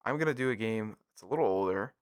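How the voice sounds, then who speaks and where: A man's voice: on the low side, expressive, fast talker, clear, resonant tone, no echo, clean studio sound. An American man co-hosting a casual gaming podcast, chatting informally and jokily and talking straight to listeners. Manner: jokey, informal, addresses listeners directly. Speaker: podcast co-host.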